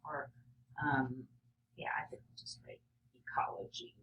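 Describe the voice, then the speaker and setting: A woman's voice: rumbling low, wildly swooping pitch, a little slow, whispered, poor audio. A middle-aged woman who teaches, reading a children's story aloud and reflecting on it in a thoughtful, think-aloud way. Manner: reflective, thinking out loud, often drops to a murmur while noting ideas. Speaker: teacher, professor.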